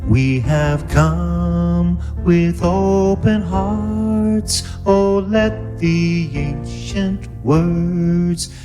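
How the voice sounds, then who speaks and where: A woman singing a devotional hymn as a worship singer, slowly and with musical accompaniment. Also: on the low side, steady tone, music in the background.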